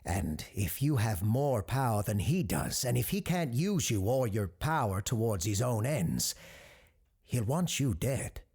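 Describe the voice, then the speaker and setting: A man's voice: medium pitch, very animated, measured pace, a little mellow, breathy edge, exaggerated voice. An actor playing an earnest, cautious male host of a paranormal radio call-in show in an audio drama. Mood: grave warning.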